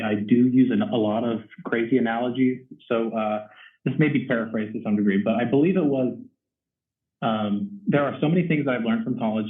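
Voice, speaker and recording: A young man from Texas, a first-year choir teacher, chatting in an interview with lots of ums and restarts. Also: mid-range voice. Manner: rambling with many ums and restarts. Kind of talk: casual interview chat. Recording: echoey, distant, phone-like audio.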